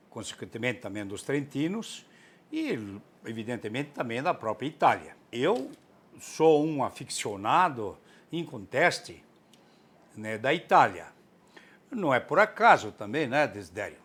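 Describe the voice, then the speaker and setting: A man's voice: mid-range voice, a bit bright. Earnest older Brazilian man. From southern Brazil. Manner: earnest, enthusiastic; frequent tag "né". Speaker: interviewee, author. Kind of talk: interview answer.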